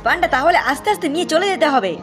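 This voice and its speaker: A woman playing a bossy, exasperated little cartoon boy who talks in an animated, scolding way. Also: sits high, fast. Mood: exasperated, instructing. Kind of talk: cartoon dubbing. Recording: music under the voice.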